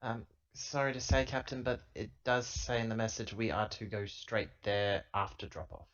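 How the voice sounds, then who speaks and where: A man's voice: fairly low pitch, fairly even intonation, moderate pace, fairly bright tone. A man playing a weary, dutiful corporate agent in a tabletop sci-fi game, hesitant and resigned as he reasons things out. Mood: reasoning, firm. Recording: dull, lo-fi laptop recording.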